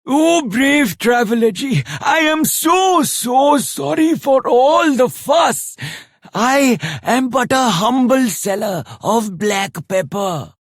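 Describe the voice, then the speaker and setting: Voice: medium pitch, expressive, slow pace, no echo, studio quality. A performer voicing a humble, apologetic young woman pepper seller in a video game, pleading and deferential, with an Indian accent. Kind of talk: video game character acting.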